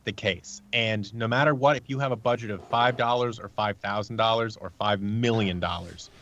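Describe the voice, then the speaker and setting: A man's voice: fairly low pitch, normal speed, fairly bright tone. A man speaking candidly and emphatically as an interview guest, telling anecdotes in a conversational way. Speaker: podcast guest, audio drama producer. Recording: dull, lo-fi call audio.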